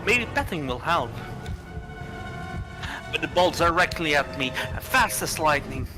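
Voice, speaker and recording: A man's voice: a little high, lively intonation, a little slow, over music. A man reading a horror visual novel aloud on a livestream, with casual commentary in between. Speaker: livestreamer playing a horror game.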